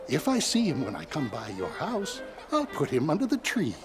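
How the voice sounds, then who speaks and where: Kindly old Christmas gift-giver, jovial and reassuring, hugely animated pitch, children's cartoon. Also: male voice, normal speed, music in the background. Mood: jolly, kindly.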